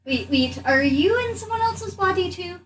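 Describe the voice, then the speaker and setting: A woman's voice: very high-pitched, animated, moderate pace, a bit bright, distant mic. A woman playing a tabletop role-playing game, chatting casually in character in a hesitant, eager, polite way. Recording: off mic, background noise.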